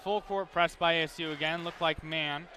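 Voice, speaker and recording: A man's voice: a little high, fairly even intonation, moderate pace, bright, forceful tone, a little hiss or hum. A young man giving live play-by-play commentary as a sports announcer at a game.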